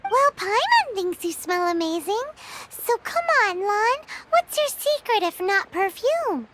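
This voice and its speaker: A woman voicing a small, excitable, cheeky fairy companion in a video game, with a squeaky childlike voice and a chirpy manner. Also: a little slow, heavy background noise, full character voice. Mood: eager, chirpy. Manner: refers to herself in third person, chirpy. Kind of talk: video game character acting.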